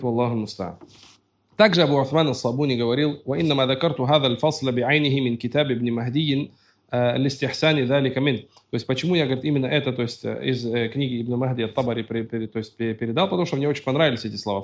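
A man's voice: medium pitch, vocal fry. A man giving a religious lecture, reading out Arabic passages and then explaining them plainly in his own words. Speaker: religious teacher.

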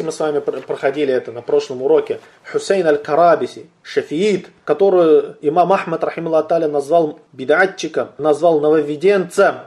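Male lecturer, slightly higher register, mellow tone, deliberate question-and-answer teaching delivery. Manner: deliberate, slows down to stress key points, asks and answers own questions; addresses listeners as brothers. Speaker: religious teacher. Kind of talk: religious lesson lecture.

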